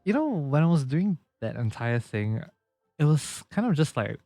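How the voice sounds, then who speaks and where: A man's voice: a little high, big pitch swings, normal speed, warm tone. A man doing casual game playthrough commentary as a streamer, musing aloud and reacting as he plays. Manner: musing, reactive, repeats and echoes game lines. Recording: faint game music behind.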